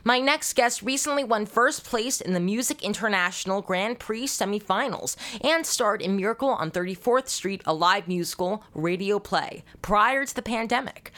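An enthusiastic talk show host with a medium-pitched voice, gushing over guests in an upbeat interview style. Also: expressive, normal speed, few pauses, bright, forceful tone.

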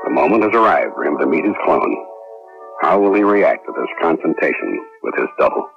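Very deep, gravelly radio narrator. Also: male voice, sing-song, brisk, full character voice. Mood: portentous. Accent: American. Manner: dramatic, portentous. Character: grave narrator of a suspenseful science fiction tale. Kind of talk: 1970s radio drama narration. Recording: muffled lo-fi 1970s radio broadcast. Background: dramatic music.

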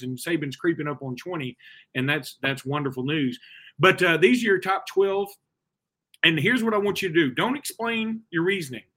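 A male sports talk host with a bright, slightly high voice. He talks in a chatty, opinionated way, leading the conversation casually.

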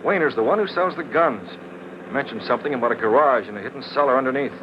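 An actor playing a confident, decisive crimefighter in a 1940s radio drama, brisk and commanding. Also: male voice, medium pitch, close to an everyday voice. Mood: determined. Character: confident, decisive male masked crimefighter giving orders. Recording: dull old radio recording, faint noise.

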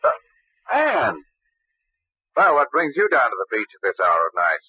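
An actor playing a confident, tough but teasing American government agent in a 1940s radio drama. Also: male voice, mid-range voice, wildly swooping pitch, a little slow, slightly rough, dry recording, heavily acted. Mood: explaining, assured. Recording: muffled old radio recording.

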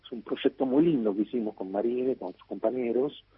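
A man's voice: mid-range voice, fast, breathy edge, no room sound. A man with an Argentine accent, a writer answering questions on the radio, rambling with many fillers and restarts. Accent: Argentine Spanish. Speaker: guest writer. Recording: tinny phone-like line.

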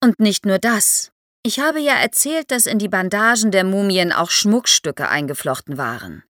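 A woman's voice: medium pitch, big pitch swings, moderate pace, a bit bright, no room sound, studio quality. A middle-aged woman speaking as an expert guest, explaining things in a podcast conversation.

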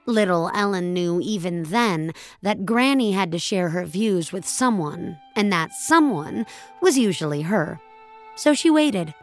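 A woman narrator reading a scripted dramatic story in a measured, deliberate way. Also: lowish voice, lively intonation, soft background music.

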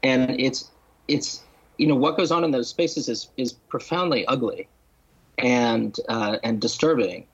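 A man speaking as a guest writer in a literary podcast discussion, halting and deliberate, restating and listing his points. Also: mid-range voice, slightly rough, lo-fi sound. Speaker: guest novelist and critic.

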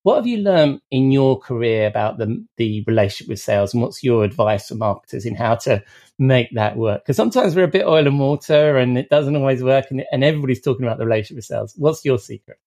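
A man's voice: mid-range voice, lively intonation, fast talker. A middle-aged man hosting an interview podcast, asking questions conversationally and affirming his guest often. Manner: warm-up questions, affirming "yeah", frequent restarts. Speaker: podcast host.

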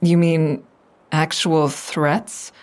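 An actress playing an anxious, hesitant woman making a halting call for help in an audio drama. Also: sits low, little pitch movement. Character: anxious, hesitant woman reporting a stalking ex-boyfriend. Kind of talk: audio drama acting, naturalistic phone dialogue.

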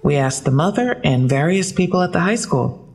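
Gravelly, matter-of-fact female detective. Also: on the low side, expressive, normal speed, a little mellow. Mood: dry, businesslike. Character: frank, matter-of-fact police detective briefing a colleague. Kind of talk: audio drama dialogue.

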